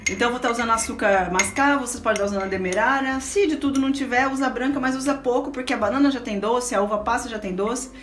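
Home cook, middle-aged woman, higher voice, encouraging and chatty; distant camera, echoey kitchen, music underneath. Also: fairly even intonation, fast talker, hardly any pauses, a bit bright. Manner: chatty, patient, coaxing and encouraging. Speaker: cooking show host and aunt. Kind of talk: casual cooking demonstration with a child. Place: small home kitchen, indoors. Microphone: camera held by a helper a few feet away.

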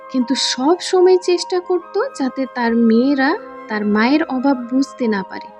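A woman narrator tells a cartoon folk tale in a high-pitched voice. Her delivery is scripted storytelling, with faint music behind it. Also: darkish tone.

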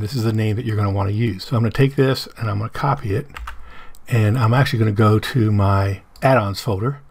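A middle-aged man with a hoarse voice, explaining a software tutorial step by step over his screen recording. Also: fairly low pitch, no room sound. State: hoarse from medication.

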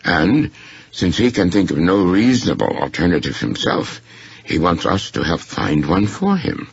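A man voicing a courteous, shrewd, unflappable gentleman in a story, speaking formally and with deliberate precision. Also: low voice, big pitch swings, vocal fry, slightly rough, no echo, dull audio, full character voice. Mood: calm, reasoning.

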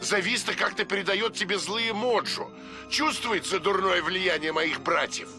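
An actor playing a bossy, exasperated guardian mask spirit, an older mentor in a cartoon game, theatrical and commanding. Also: male voice, sits high, moderate pace, bright, forceful tone. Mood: concerned. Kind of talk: cartoon video game voice acting. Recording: music behind the voice.

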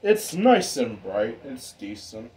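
A man giving a casual, informal hobbyist demonstration in an unhurried, rambling way. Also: medium pitch, big pitch swings, dark tone. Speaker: ceiling fan hobbyist vlogger. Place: indoor room with exposed pipes and ductwork.

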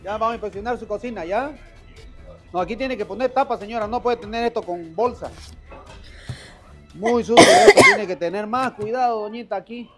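A man's voice: very high voice, very animated, moderate pace. Male health inspector scolding someone in a kitchen, keeps repeating himself, news clip w faint music behind. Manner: scolding, insistent, repeats commands. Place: food stall kitchen.

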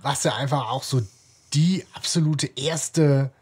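A man co-hosting a podcast, talking casually in a measured, explanatory manner. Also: mid-range voice, fairly even intonation, darkish tone, breathy edge. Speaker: podcast co-host.

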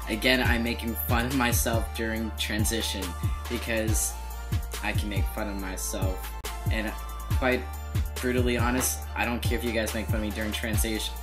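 A young man from Canada chatting casually and jokingly on a webcam in a small bedroom, laid-back and mumbling at times. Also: fairly low pitch. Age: young adult. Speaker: vlogger. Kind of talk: casual chat.

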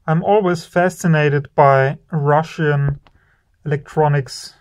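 A man hosting an electronics teardown video, slowly and admiringly commenting on the parts he finds. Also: on the high side, steady tone. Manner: slow, admiring, savoring each part.

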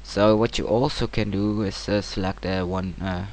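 A man narrating a casual software tutorial in hesitant, informal, non-native-sounding English. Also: low-pitched, normal speed. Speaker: tutorial presenter. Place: home computer screen-recording voice-over. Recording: clear steady background noise.

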